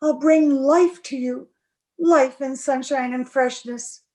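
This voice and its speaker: A woman plays an unhappy, lovelorn young bride in a gothic radio play. Her voice is high and her pace is slow. She is pleading and emotional, in a theatrical old radio manner. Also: fairly even intonation, a little mellow. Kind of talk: old-time radio acting.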